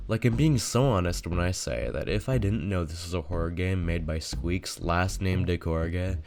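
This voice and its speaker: A man's voice: low voice, normal speed, a little mellow. A man narrating a scripted video essay as a video game reviewer.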